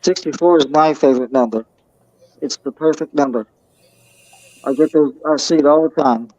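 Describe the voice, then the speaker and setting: A man in casual group chat about his likes, talking in a halting, earnest way with many restarts. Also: fairly high pitch, a little mellow, poor audio. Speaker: guest talking about himself.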